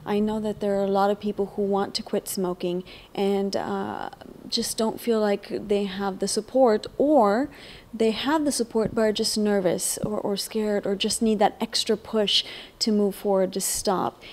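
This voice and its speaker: A woman's voice: medium pitch, steady tone, unhurried, a little mellow. A woman from Texas, an actress and model, answering interview questions in an earnest, easygoing way. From Texas, USA.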